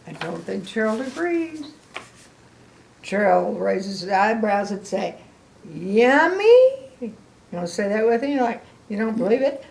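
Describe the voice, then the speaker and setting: A woman's voice: medium pitch, lively intonation, moderate pace, gravelly, a little mellow, a little hiss or hum. An older woman doing a children's read-aloud as a grandmother, with exaggerated emphasis and asides addressed straight to the listeners. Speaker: grandmother storybook reader. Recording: echoey room.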